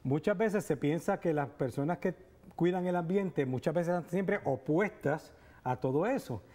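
A middle-aged priest hosting a TV studio interview, asking chatty questions with restarts. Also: male voice, fairly high pitch, normal speed, mellow. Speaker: priest and TV host. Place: TV studio set. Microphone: lapel mic.

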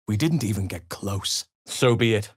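A male actor playing a grave, earnest elf druid in a video game, speaking solemnly in measured, formal phrases. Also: lowish voice, animated, husky, no echo, studio quality. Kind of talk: scripted game voice lines.

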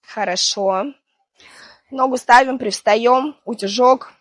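A woman in her thirties with a slightly high voice, a little hoarse from being ill. She talks through a foam-roller routine in a chatty, gentle manner. The sound is close and dry, recorded on a clip-on mic outdoors. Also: takes it slowly, dry recording. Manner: chatty, gentle, laughs between cues. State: hoarse from illness. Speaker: fitness instructor. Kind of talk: guided exercise instruction. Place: outdoors on a seaside promenade.

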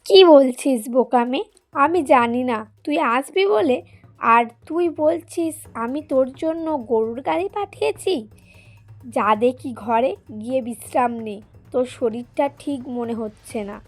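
A woman's voice: high-pitched, expressive, measured pace, exaggerated voice. A woman voicing a stern but concerned village aunt in a cartoon story, scolding and cautioning.

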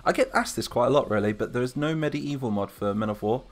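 Chatty English streamer reading chat. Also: male voice, medium pitch, fast, faint music behind. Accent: Lincolnshire English. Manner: chatty, rambling, reads chat comments aloud. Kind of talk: live stream chat while building a game map.

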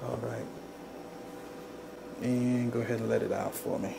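Man, chiropractor with a gravelly edge. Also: mid-range voice, unhurried. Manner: calm, repetitive short cues. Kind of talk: soft-spoken instructions to a client during treatment. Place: small indoor treatment room. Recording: noisy room ambience.

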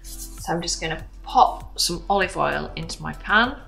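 Low-pitched woman hosting a cooking demo. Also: animated, normal speed, gravelly, fairly bright tone. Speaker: home cooking show host. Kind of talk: casual cooking demonstration, instructional. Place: modern home kitchen, indoors. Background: background music, frying sizzle.

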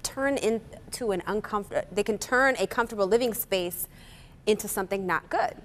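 A woman's voice: medium pitch, normal speed. A young woman co-hosting a TV talk show, chiming in with short reactions on a studio set. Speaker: TV show co-host.

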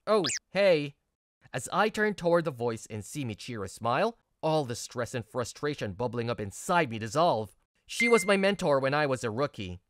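A woman's voice: extremely low pitch, normal speed, no echo. A woman voicing an earnest, stressed young male office worker, narrating and acting in a manga video. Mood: reflective. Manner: earnest, expressive. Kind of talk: first-person narration and dialogue in manga video.

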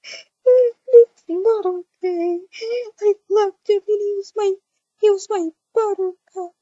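A woman's voice: very high voice, fairly even intonation, dark tone, dry recording, lo-fi sound. A woman voicing a heartbroken, whiny young woman in a game roleplay, whimpering and drawing out her words. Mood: wailing grief. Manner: whimpering, drawn-out wailing.

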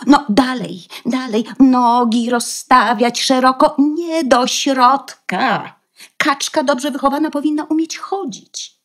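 A woman playing a proud, protective mother duck in a fairy-tale radio play, bossy and caring as she instructs, with a husky, breathy voice. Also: sits high, a bit bright, exaggerated voice. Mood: instructing, bossy. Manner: instructing, measured, emphatic.

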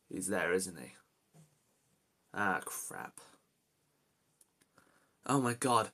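A man's voice: mid-range voice, a bit bright. A man voicing an anxious, protective young adventurer in amateur character lines, talking to himself with dramatic exclamations. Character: anxious, reluctant young adult male adventurer hero, protective.

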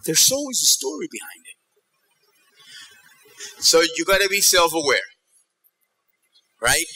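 A middle-aged man giving a motivational keynote on stage, telling stories in an animated way and checking in with his listeners. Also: fairly high pitch, a little slow, breathy edge, rough recording. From New York and Ecuador. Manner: animated storytelling, checking in with the audience. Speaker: keynote speaker. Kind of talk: motivational conference talk. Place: theater stage in a large auditorium.

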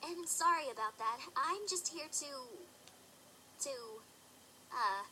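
A woman's voice: sits high, lively intonation, pushed and bright, slight background noise, full character voice, poor audio. A woman voicing an earnest, easily flustered young female unicorn pony in a cartoon-style audio drama. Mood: awkward. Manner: exclaiming, flustered, halting. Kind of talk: animated-series style audio drama acting.

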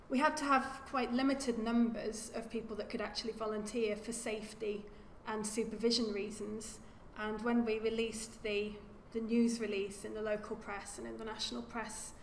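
A woman archaeologist giving an academic lecture at a lectern, speaking in a measured way and often repeating words as she corrects herself. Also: on the high side. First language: English. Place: lecture room. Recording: echoey lecture hall with faint hum.

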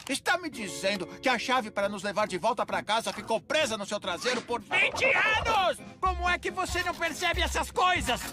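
A man's voice: very high voice, animated, moderate pace, hardly any pauses. A man voicing a grumpy, neurotic turtle in a Brazilian cartoon dub, whining and complaining sarcastically. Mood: exasperated. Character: grumpy, neurotic adult male turtle, easily exasperated. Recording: loud music behind the voice.

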